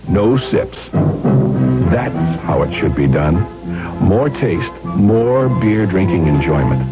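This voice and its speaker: A man's voice: sits low, sing-song, slow, highs cut off. A man reading a folksy 1970s radio commercial in a conversational, instructive way. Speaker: commercial announcer. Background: loud music.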